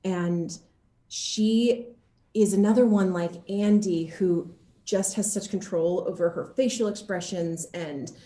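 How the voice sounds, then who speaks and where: Woman actor chatting in an interview, animated n laughs easily, mid pitch, roomy echo. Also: slow pace. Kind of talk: casual interview chat, telling anecdotes.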